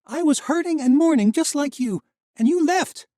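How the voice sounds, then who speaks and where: A man voicing a fierce young woman in grief, sharp and quick to anger, in an audiobook. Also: high-pitched, sing-song, no echo, clean studio sound, pitched above the performer's own voice. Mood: furious. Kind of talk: audiobook character voice.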